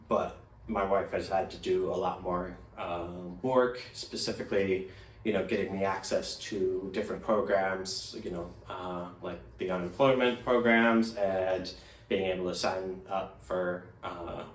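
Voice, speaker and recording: Male, American, low-pitched, reflective and halting interviewee, recorded in a reverberant room. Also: a little hiss or hum. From United States. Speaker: interviewee, migrant. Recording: echoey room, distant microphone.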